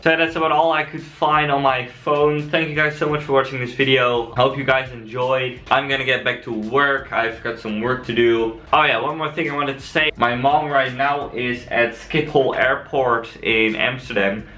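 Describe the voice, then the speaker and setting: A young Dutch man with a Dutch accent, answering viewer questions in a relaxed, chatty vlog. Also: mid-range voice, moderate pace, few pauses. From Netherlands. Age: young adult. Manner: relaxed and chatty, frequent fillers. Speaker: cycle-touring vlogger. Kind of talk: casual vlog Q&A.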